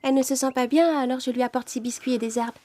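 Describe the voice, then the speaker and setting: A woman's voice: high voice, steady tone, fast talker, dark tone, dry recording, close to an everyday voice. A woman voicing a sweet, trusting little girl in a children's cartoon fairy tale, speaking in an earnest, polite, childlike way.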